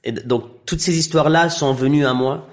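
A man's voice: a little high, brisk. A man in his thirties with Arabic as his first language, raised in Tunisia and Belgium, talking casually as an interview guest with many fillers and restarts. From Iraqi heritage, raised in Tunisia and Belgium. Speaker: interview guest, actor and director.

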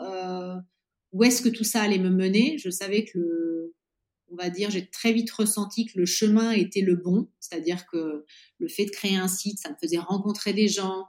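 A woman's voice: medium pitch, a little mellow. A woman in her late thirties from France, a podcast guest talking casually in an interview, with lots of hesitations and restarts. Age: 30s. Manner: hesitant, many fillers and restarts.

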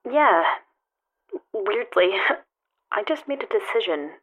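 An actress playing a restless, anxious, sleepless woman in a naturalistic audio drama, speaking haltingly over the telephone. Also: medium pitch, sing-song, a bit bright, exaggerated voice. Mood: sheepish, tired. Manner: hesitant, halting, natural phone chat. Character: restless, anxious, sleepless young woman set on climbing a tower. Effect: telephone filter. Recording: thin, narrow telephone line.

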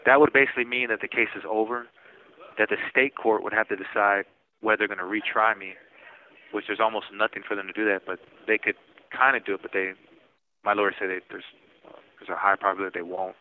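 A man in his forties talking casually from prison over the phone, halting and often restarting his words. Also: fairly low pitch, little pitch movement. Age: 40s. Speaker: interviewee in prison. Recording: tinny prison phone line.

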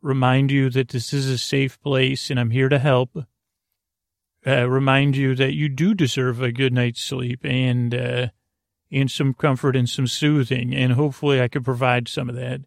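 A man hosting a sleep podcast in a creaky voice, delivering a soothing, meandering bedtime monologue that halts and restarts mid-phrase. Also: mid-range voice, flat delivery, normal speed, dry recording, studio quality. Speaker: podcast host.

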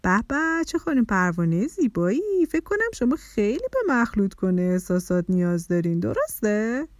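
Woman as a storybook butterfly dad, playful and kindly, with very animated intonation. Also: mid-range voice, dry recording, clean studio sound. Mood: playful hawking. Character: kind, playful father butterfly, acting as street hawker.

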